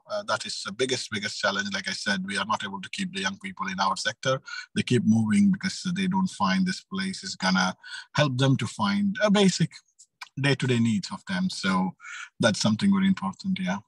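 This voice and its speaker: A man in his thirties speaks as a panelist on a video call. His voice is rough-edged and a bit deep, and his phrasing is halting. The sound is dry, with little room around it. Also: no echo, low-quality recording. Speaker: youth organization speaker. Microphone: video call, phone.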